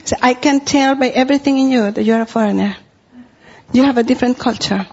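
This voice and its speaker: A woman's voice: high voice, quick pace, a little mellow, breathy edge, highs cut off. A middle-aged woman from Costa Rica with a Spanish accent, preaching a sermon in an earnest way and repeating phrases for emphasis.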